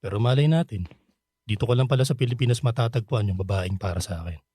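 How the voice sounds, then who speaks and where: A man's voice: medium pitch, quick pace, dark tone, slightly rough, close to an everyday voice. A Filipino man playing a nostalgic, bashful middle-aged bachelor back home from abroad in a radio drama. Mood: wistful. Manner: easygoing, chuckling, sheepish.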